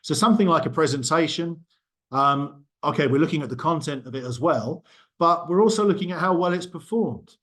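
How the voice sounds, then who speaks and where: A man's voice: on the high side, lively intonation, brisk, fairly bright tone. A middle-aged man lecturing in a casual group discussion, talking loosely with frequent ums and self-corrections. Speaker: university lecturer. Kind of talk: small group discussion talk.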